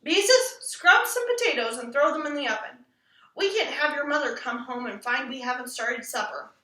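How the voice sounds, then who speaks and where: A woman voicing a patient, wry father in a children's story, with a measured, dryly humorous manner. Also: high-pitched, lively intonation, bright, forceful tone, roomy sound, recorded from a distance, poor audio. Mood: brisk. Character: patient, wry, gentle father of a young girl.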